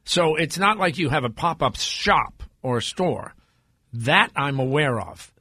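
A man's voice: on the high side, animated, unhurried, pushed and bright, slightly rough, no room sound. A man hosting a radio talk show in a casual interviewing style, speaking into a studio microphone. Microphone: close studio microphone.

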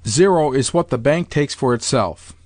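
A courteous gentleman of a storybook, read by a male narrator in a medium pitch and a dry, close sound. He is measured and cautioning, always polite. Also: lively intonation, no echo, lightly acted. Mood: patient, cautioning. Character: cautious, polite younger male companion, remonstrating with an old lady. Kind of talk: audiobook character dialogue.

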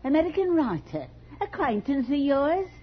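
A chatty Cockney housewife in an old radio play. Her high voice leaps about wildly as she talks in colloquial exclamations. The 1940s recording is muffled and noisy. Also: very animated, normal speed. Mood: chatty, helpful. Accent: London Cockney. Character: working-class London housewife and mother, chatty, obliging.

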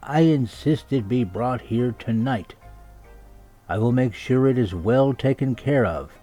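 A man's voice: medium pitch, expressive, a little slow, mellow, faint music behind, voice pushed up. A man voicing an imperious, easily affronted emperor in a storybook, pompous and insistent. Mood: insistent, threatening.

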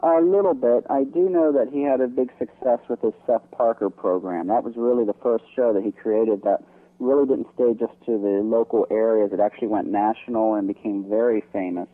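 A man's voice: medium pitch, brisk. A man speaking as an interview guest over the telephone, explaining things informally. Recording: narrow, tinny phone line.

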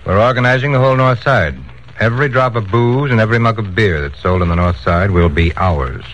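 Guy doing a low voiced bootlegger boss, calm authority, streetwise, dull old 1940s radio sound. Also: fairly quick, close to an everyday voice. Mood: in command. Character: measured, businesslike bootlegging gang boss. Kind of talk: 1940s radio crime drama acting. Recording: dull, faintly noisy old radio broadcast.

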